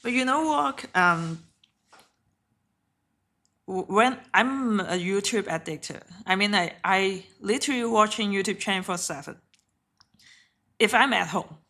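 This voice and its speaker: A woman whose first language is Cantonese streams her trades live in a bright, clear voice. She keeps it casual and unpolished, swearing offhandedly and laughing between thoughts. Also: mid-range voice, animated, a little slow, long pauses. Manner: chatty and candid, swears offhandedly, often laughs. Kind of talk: casual live trading commentary.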